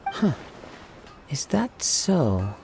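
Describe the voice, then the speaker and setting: An actor playing a polite, composed male investigator in a video game, courteous and measured. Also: a little high, big pitch swings, gravelly, a little mellow, soft background music, heavily acted. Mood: grave, measured.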